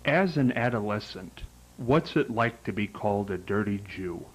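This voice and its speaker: A man's voice: fairly low pitch, expressive, normal speed, low-quality recording. A man with an American accent hosting an interview show, speaking in formal introductions and direct questions. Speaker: talk show host, interviewer.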